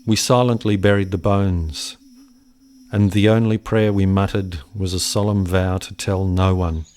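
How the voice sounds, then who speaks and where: An actor playing a haunted farmer's son in a radio play, telling of a buried secret in a solemn, measured way. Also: male voice, deep voice, level tone, darkish tone.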